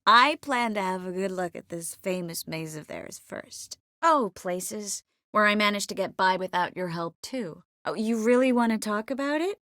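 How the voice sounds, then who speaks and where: A woman playing a poised, wry, warm-hearted sorceress in a video game, composed and lightly teasing. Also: medium pitch, expressive, normal speed, hardly any pauses, no echo, clean studio sound, close to an everyday voice. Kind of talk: scripted game character dialogue.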